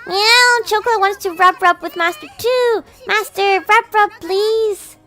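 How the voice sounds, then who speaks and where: A woman voicing an excitable, clingy young cat girl from a visual novel, bubbly and full of cutesy meows. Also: very high voice, takes it slowly, soft background music, voice pushed up, heavily acted. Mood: eager, pleading. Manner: bubbly, meowing cutesy exclamations. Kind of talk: visual novel character voice in a let's play.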